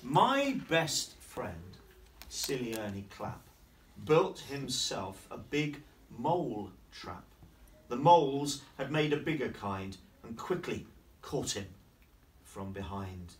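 A middle-aged headmaster reading a picture book aloud in a classroom, playfully asking the children questions and slowing down on the rhymes. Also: male voice, mid-range voice, sing-song. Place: primary school classroom, indoors. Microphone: camera across the room. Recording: distant camera, noisy classroom.

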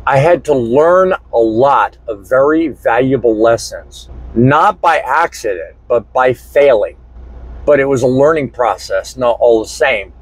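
Middle-aged man, trucker vlog, husky voice, earnest and deliberate, lapel mic, truck cab. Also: medium pitch, lively intonation, no room sound, rough recording. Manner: earnest, reflective, deliberate phrasing. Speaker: truck driver and vlogger. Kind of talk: casual talk to camera. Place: inside a truck cab, also outdoors in a parking lot. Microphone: small lapel mic.

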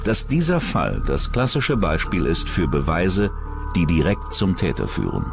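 A man's voice: low-pitched, huge pitch swings, measured pace, creaky, over music. A man reading scripted true-crime documentary narration as the narrator.

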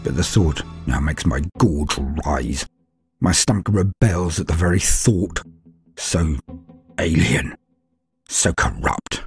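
A man with a very deep, low voice narrates a first-person horror story. His delivery is grave and measured, sinking into hushed lows and swelling at dramatic turns. Soft music plays faintly beneath him. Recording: soft music bed underneath.

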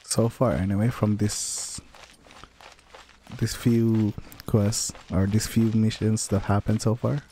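A man giving casual gameplay commentary in a halting, musing way. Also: on the low side, little pitch movement, warm tone, a little hiss or hum, rough recording. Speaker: gamer. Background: game music.

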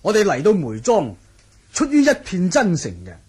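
A man acting a formal, forceful martial-arts fighter in a Hong Kong Cantonese radio drama, with a husky voice and emphatic, declamatory delivery. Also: sits high, wildly swooping pitch, normal speed, exaggerated voice.

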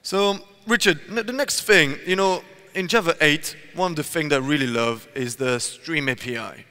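A man's voice: a little high, moderate pace, pushed and bright, clear, resonant tone. A man from Belgium in his thirties, co-presenting a tech talk on stage with a headset mic, chatty and full of "you know" fillers. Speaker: conference co-presenter. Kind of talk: prompting questions in a tech talk. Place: large conference hall stage. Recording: long hall reverb.

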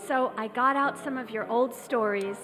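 A woman's voice: on the high side, normal speed, lightly acted. A woman playing an eager, sweet young woman in old-time radio drama style, with a perky manner. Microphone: stand microphone on stage. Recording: live theater stage, long reverb, music behind.

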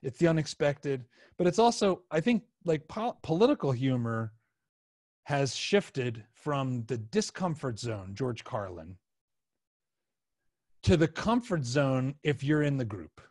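A middle-aged man hosting a casual podcast, explaining ideas in a halting way as he thinks aloud. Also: medium pitch, very animated, darkish tone, no echo, studio quality. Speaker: podcast host, video editor. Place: indoor room, video call. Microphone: podcast mic on an arm, headphones.

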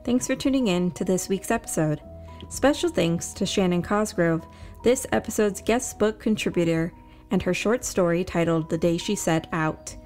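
A woman's voice: on the low side, moderate pace, darkish tone, soft background music. A woman reading scripted narration as the host and storyteller of a fiction podcast.

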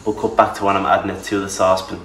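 Young male vlogger, low flat voice, off-mic in a roomy kitchen. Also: very fast, distant mic, soft background music. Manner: relaxed, chatty, self-deprecating. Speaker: fitness vlogger. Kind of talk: casual cooking walkthrough. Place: large home kitchen, indoors. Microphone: camera mic at a distance.

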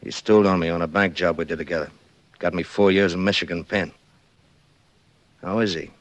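A male actor plays a menacing small-time American hoodlum in a 1940s radio crime drama. His voice sits low, and he needles his victim in cold gangster slang. The vintage recording is dry. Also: steady tone, brisk, no echo, close to an everyday voice. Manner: taunting, slangy tough-guy talk.

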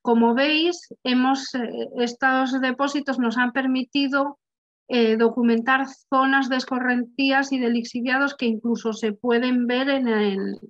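Halting young woman presenting slides. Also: high-pitched, fairly even intonation. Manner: halting, many restarts and filler sounds. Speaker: researcher presenting at a conference. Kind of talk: online conference talk over slides. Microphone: webcam video call. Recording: slightly muffled video-call audio.

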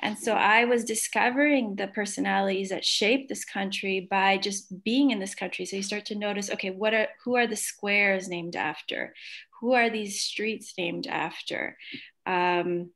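Slovak-born woman, gravelly author on a video call. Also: mid-range voice, normal speed, a bit bright. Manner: thoughtful, self-correcting, many fillers. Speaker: book author. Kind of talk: video call book discussion.

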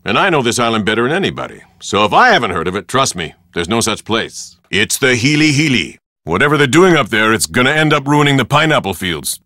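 An actor playing a boisterous Hawaiian tour operator in a video game, with hearty, pushy sales patter in short punchy phrases. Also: male voice, mid-range voice, big pitch swings, fairly quick, few pauses, pushed and bright, dry recording, studio quality. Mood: suspicious, confiding. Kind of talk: video game character dialogue.

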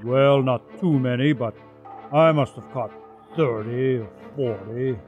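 A man voicing a boastful, grumbling rough tomcat who tells tales in blustering colloquial style for a radio story. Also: mid-range voice, steady tone, slow pace, darkish tone, highs cut off, soft background music, natural voice.

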